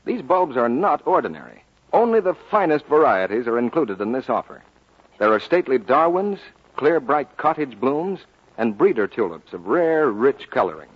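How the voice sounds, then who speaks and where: A man reading a 1940s radio advertisement as a formal, measured announcer with a slightly husky voice. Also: medium pitch, sing-song. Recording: dull old 1940s radio recording.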